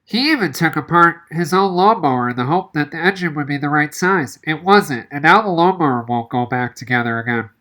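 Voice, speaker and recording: A woman's voice: low voice, lively intonation, fairly quick, few pauses, a bit bright. A woman reading a children's novel aloud as narration. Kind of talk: audiobook-style read-aloud narration.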